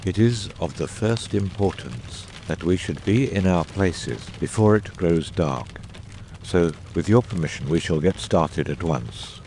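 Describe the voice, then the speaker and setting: A man voicing a grave, theatrical, masterful detective in a dramatised audiobook, with measured, eloquent and commanding delivery. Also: sits low, lively intonation, a little mellow, a little hiss or hum.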